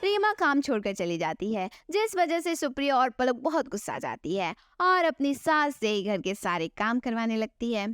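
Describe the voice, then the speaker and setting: A woman's voice: a little high, very animated, normal speed, hardly any pauses, a bit bright, clean tone, no echo, clean studio sound. A woman narrating a scripted moral bedtime story as the storyteller.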